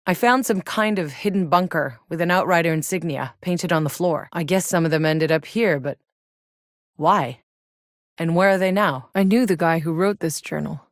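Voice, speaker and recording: A woman's voice: deep voice, dry recording, close to an everyday voice. An actress playing a tough, sardonic, weary but determined woman soldier in a video game, with a terse, dry, hard-edged delivery. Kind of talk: acted video game voice lines.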